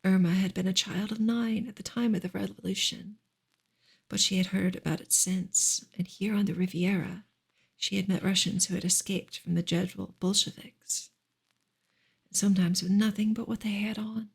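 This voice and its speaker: A woman gives a soft ASMR reading of a book, breathy and often close to a whisper, in a gentle, measured way. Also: mid-range voice, steady tone. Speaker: streamer reading a novel aloud.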